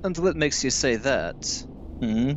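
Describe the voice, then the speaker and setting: A man's voice: medium pitch, big pitch swings, slow pace, muffled recording, a little hiss or hum. A man playing a haughty, sly vampire villain in a theatrical style for a comedic audio drama. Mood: smug, probing.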